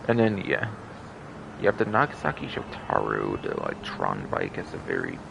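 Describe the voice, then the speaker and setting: A man with a gravelly voice giving rambling, repetitive game commentary as a livestreamer. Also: extremely low pitch, huge pitch swings, moderate pace, background noise. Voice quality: gravelly, creaky. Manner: repetitive, circling back over phrases.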